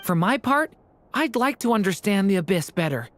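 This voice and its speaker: A woman's voice: medium pitch, sing-song, moderate pace, no echo, faint music behind, natural voice. A woman playing an earnest, polite youth in a video game. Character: earnest, soft-spoken youth eager to learn.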